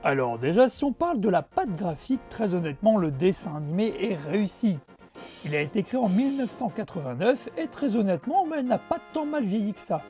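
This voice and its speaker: A woman's voice: mid-range voice, very animated, brisk, warm tone. A woman hosting a retro game show, narrating a review in a frank, conversational way with casual asides. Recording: background music under the voice.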